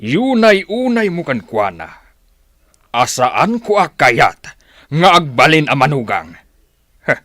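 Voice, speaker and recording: An actor playing a stern, disapproving father in a radio drama, forceful and scolding. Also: fairly high pitch, sing-song, slow, long pauses, no room sound, studio quality, heavily acted. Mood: stern, angry.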